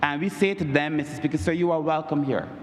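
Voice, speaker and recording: A man's voice: fast, a little hiss or hum. A man with a Barbadian accent giving an emphatic parliamentary speech, repeatedly addressing the Speaker. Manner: oratorical, repeats "Mister Speaker, sir" as a refrain; emphatic, rises in pitch on key points. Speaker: member of parliament. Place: large parliament chamber. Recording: echoey chamber with long reverb.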